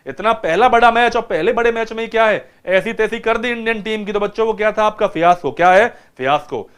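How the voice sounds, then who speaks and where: A man's voice: high voice, a little fast, fairly bright tone, smooth. A man teaching vocabulary to students by retelling a film, keeps asking them rhetorical questions.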